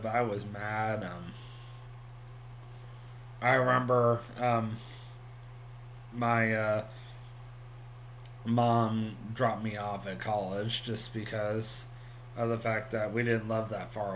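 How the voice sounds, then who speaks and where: A man's voice: on the low side, fairly even intonation, takes it slowly, long pauses, fairly bright tone, slight background noise, poor audio. A man in his thirties talking casually on his solo vlog at home, rambling with many ums and restarts. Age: 30s. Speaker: vlogger.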